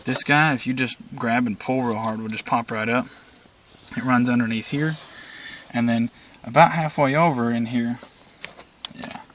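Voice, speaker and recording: Guy talking through his car setup, lots of uhs, mid pitch, faint hiss. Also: steady tone, normal speed, a bit bright. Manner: rambling, many "uh" fillers, plain-spoken. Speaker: video maker showing his car setup. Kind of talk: casual walkthrough vlog. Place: inside a parked car.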